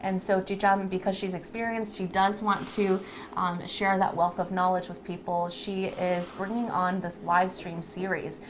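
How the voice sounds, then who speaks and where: A woman's voice: lowish voice, level tone, normal speed. A woman casually co-hosting a live stream, explaining things to the audience in a chatty, conversational way. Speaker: live stream co-host introducing a lash artist. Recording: clear background noise.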